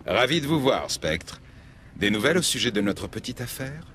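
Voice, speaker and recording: Suave, cautious businessman with lively intonation. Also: mid-range voice, normal speed, slight background noise. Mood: cautious, courteous. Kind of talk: video game dub dialogue.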